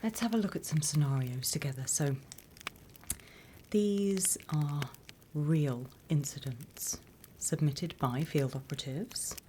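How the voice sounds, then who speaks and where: A British woman playing a sly demon instructor in a whispered ASMR roleplay, unhurried, wry and smugly scornful of humans. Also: low-pitched, slight background noise. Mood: calm, instructive. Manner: unhurried, hushed, teacherly, wry.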